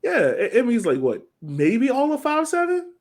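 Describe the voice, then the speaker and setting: A man's voice: very high voice, huge pitch swings, normal speed. A man co-hosting a soccer podcast in an informal, conversational way, chatting casually about the game. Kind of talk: casual sports chat.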